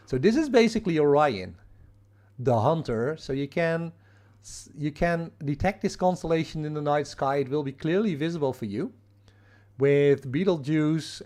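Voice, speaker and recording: A man with a strong Dutch accent explains astrophotography live on a stream, reading and answering viewer comments as they come in. His voice sits a bit higher than most men's, and he talks casually, with plenty of fillers and restarts. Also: a little mellow. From Netherlands. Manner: halting, many fillers and restarts; reads and answers viewer chat. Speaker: astrophotography livestream host.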